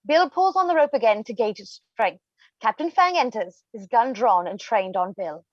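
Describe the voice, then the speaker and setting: Woman narrator, fairly high voice, dull lo-fi dry sound, scripted stage directions. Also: lively intonation, normal speed, dry recording.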